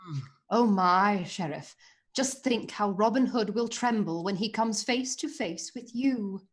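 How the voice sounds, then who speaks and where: A woman's voice: mid-range voice, a little slow, close to an everyday voice. A woman acting a haughty, sly princess in a radio play, arch and imperious in her teasing. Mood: mocking.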